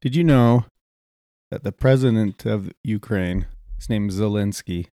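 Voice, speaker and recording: A man chats casually as a co-host on a talk show. His voice is on the low side. The recording is dry, with little room sound. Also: a little slow, mellow, no room sound. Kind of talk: casual chat.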